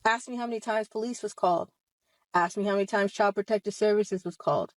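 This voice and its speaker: A woman's voice: mid-range voice, fast talker, no echo. A woman of about thirty giving impassioned personal testimony straight to her online audience, emphatic and confrontational, leaning on rhetorical questions. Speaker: online video host speaking about personal experience.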